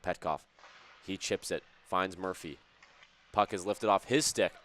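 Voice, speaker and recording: A man calling a youth hockey game play-by-play, his voice a little hoarse and raspy, with brisk, chatty calls that rise sharply on chances and goals, plus joking asides. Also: low voice, a bit bright. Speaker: play-by-play commentator. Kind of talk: live hockey play-by-play commentary.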